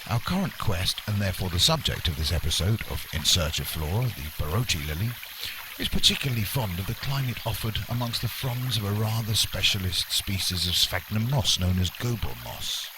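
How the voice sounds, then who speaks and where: A man's voice: lowish voice, animated, brisk, background noise, close to an everyday voice. A man playing a wordy, unflappable, pompous nature presenter in a mock radio documentary, speaking with formal politeness. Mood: measured, genteel.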